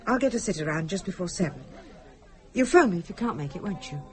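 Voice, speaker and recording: An actress playing an anxious, needy wife in a radio drama, with a hesitant, pleading and polite manner. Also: female voice, fairly low pitch, expressive, fast talker, darkish tone, natural voice. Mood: brisk, organising.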